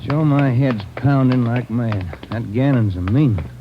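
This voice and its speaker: An actor playing a steady, weary police detective in an old American radio crime drama, plain-spoken and businesslike. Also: male voice, mid-range voice, unhurried, over music, lightly acted. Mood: weary, aching. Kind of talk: 1940s-50s radio crime drama acting. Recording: dull, faintly noisy old radio recording.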